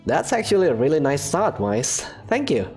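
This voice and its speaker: A woman voicing a quiet, polite young male student in a fan-dubbed visual novel, reserved and a little hesitant. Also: sits low, big pitch swings, moderate pace, vocal fry, slightly rough, faint music behind, exaggerated voice. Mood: earnest.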